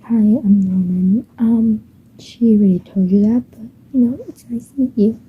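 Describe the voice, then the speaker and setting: A woman doing a polite, gentle boy. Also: a little high, moderate pace, husky. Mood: friendly. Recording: dull muffled home recording.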